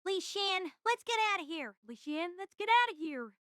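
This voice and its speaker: A woman's voice: extremely high pitch, big pitch swings, normal speed, fairly bright tone, no echo, studio quality, voice pushed up, full character voice. A woman voicing a cheeky shadow puppet copy of a boy in a chirpy, sing-song manner. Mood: frantic, repetitive.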